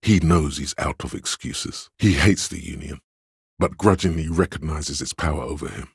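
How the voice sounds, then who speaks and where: A domineering male inner voice of authority for a video game. The pitch is extremely deep and the tone smooth and resonant. He speaks with proud, deliberate command, in a close, dry recording. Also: no echo, heavily acted. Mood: stern, appraising. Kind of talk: scripted video game voice acting.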